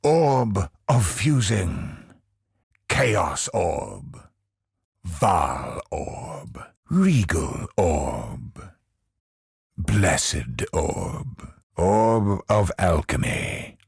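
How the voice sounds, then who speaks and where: An actor voicing a brooding, grandiose godlike villain in a video game, husky and gravelly, with an ominous, deliberate delivery. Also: male voice, low voice, big pitch swings, no echo, studio quality, exaggerated voice. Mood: ominous, reverent. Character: godlike male cosmic villain, brooding, grandiose, obsessed with perfection. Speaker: video game boss character.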